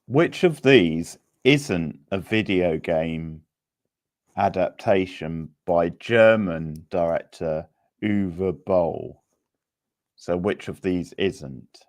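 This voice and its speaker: Deep-voiced man hosting a casual quiz. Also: very slow, darkish tone, no echo, studio quality. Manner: chatty, rambling, thinking aloud. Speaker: quiz host.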